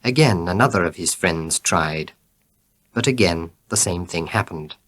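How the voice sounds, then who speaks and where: A man reading short tales aloud as a read-along for learners, with deliberate phrasing and clear pauses. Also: low-pitched, lively intonation, fairly bright tone. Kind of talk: slow read-along narration for English learners.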